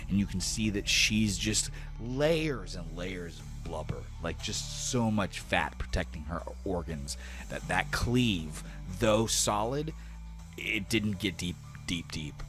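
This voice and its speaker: A man narrating a tabletop fantasy game as game master in casual, slangy, animated storytelling. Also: low voice, slow pace, over music.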